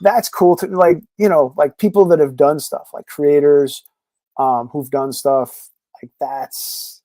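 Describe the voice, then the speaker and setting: A man talks casually as a podcast guest, rambling on with plenty of fillers. His voice is mellow and warm, a touch higher than most. The sound is dry and close. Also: steady tone, takes it slowly, no echo. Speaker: podcast guest, comic creator. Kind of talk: casual interview chat.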